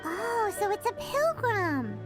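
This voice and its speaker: A woman voicing a small, cheeky, childlike fairy companion with a squeaky, cute delivery in a video game. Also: very animated, takes it slowly, heavily acted. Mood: curious, cheeky. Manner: cute, exaggerated, outspoken. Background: game music.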